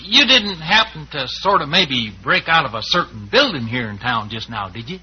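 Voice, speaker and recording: Folksy Western cowboy sidekick, swooping pitch. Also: male voice, big pitch swings, normal speed. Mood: wary, needling. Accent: American Western drawl. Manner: folksy, rambling, hesitant drawl. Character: folksy, good-humored cowboy sidekick, teasing and shrewd. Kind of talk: old-time radio western acting. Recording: muffled, hissy old radio recording.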